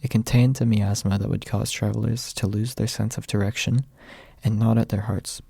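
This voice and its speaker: Hushed, creaky-voiced young man reading aloud. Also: fairly low pitch, level tone, normal speed, no room sound. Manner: soft-spoken, hushed late at night. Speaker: amateur audiobook reader. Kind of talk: ASMR, quiet storybook narration. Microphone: close USB microphone without pop filter.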